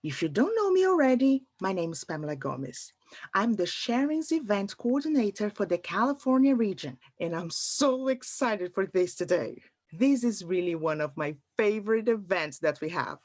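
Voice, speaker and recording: An animated, welcoming woman played by an actress hosts an online event in an audio drama. Her delivery is gushing and upbeat, with pitch that moves around a lot. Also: moderate pace. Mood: bubbly, welcoming. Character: upbeat woman event coordinator hosting online speed dating.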